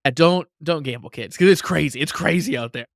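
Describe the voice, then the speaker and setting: A man co-hosting a podcast in casual chat, self-deprecating, quick to laugh and prone to stammered restarts. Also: on the high side, no room sound, studio quality. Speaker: podcast co-host.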